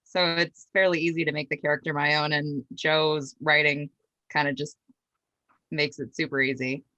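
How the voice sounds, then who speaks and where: A woman's voice: deep voice, level tone, normal speed, pushed and bright, no echo, low-quality recording. A woman being interviewed as a voice actress, chatting casually about her work.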